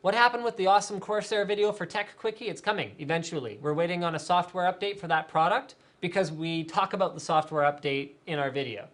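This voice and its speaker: A man's voice: high voice, quick pace, hardly any pauses. A man hosting a live tech show, chatting casually and answering viewer questions with lots of fillers. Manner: energetic, chatty, many fillers.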